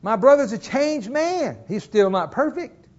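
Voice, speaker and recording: Pastor, middle-aged man, folksy storyteller from the pulpit, high voice, slightly muffled lo-fi recording. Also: sing-song, a little slow. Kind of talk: sermon. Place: church.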